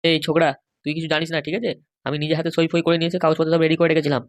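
Woman acting a stern middle-aged father, comic cartoon, low-pitched, very fast, admonishing. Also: flat delivery, natural voice.

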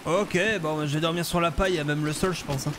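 Male streamer, high-pitched voice, excitable, theatrical, game roleplay, clear background game noise. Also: fast talker. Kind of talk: in-character improvised game roleplay. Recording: noisy game sound behind voice.

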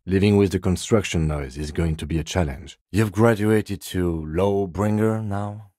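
An actor playing a composed middle-aged police detective in a video game, speaking in a measured, formal, dryly polite way. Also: male voice, low-pitched, dark tone, breathy edge, dry recording, studio quality, full character voice. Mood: calm, formal. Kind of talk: dramatic game dialogue, separate voice lines.

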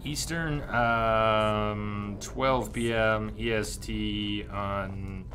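Male game streamer, thirties, low register, easygoing, musing aloud, clear game noise underneath. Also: steady tone, fairly bright tone. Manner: easygoing, thinking aloud with pauses. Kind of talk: casual gameplay commentary, chatting with viewers. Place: indoor room, streaming at a desk.

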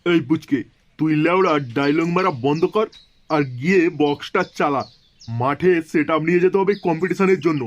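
A man's voice: fairly high pitch, fairly even intonation, a little fast, lightly acted. A man voicing a foul-mouthed, hot-tempered crew boss in a comedy cartoon, full of bluster and threats. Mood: irritated, bossy.

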